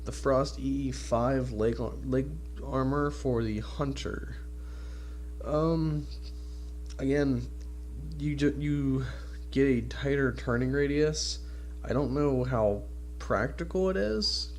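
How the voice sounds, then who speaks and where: A man's voice: medium pitch, a little mellow, slight background noise. A man with a stuffed-up, nasal voice from a cold, giving casual, informal video game commentary as a channel host.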